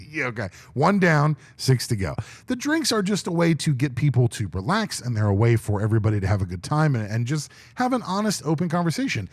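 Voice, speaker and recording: Deep-voiced chatty man, lively delivery. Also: dry recording. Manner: talkative, animated. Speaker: podcast co-host. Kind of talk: casual chat.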